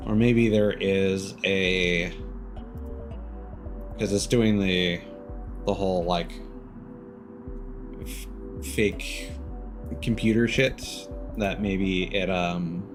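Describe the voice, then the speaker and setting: A man chatting casually on a live game stream, thinking aloud in a halting way. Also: sits low, fairly even intonation, over music. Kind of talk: casual live-stream chat while gaming.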